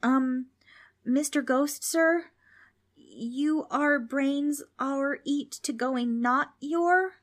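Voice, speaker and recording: Woman as a nervous high-pitched young boy. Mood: timid, polite. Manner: jumbled reversed word order, halting. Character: nervous young boy who talks backwards.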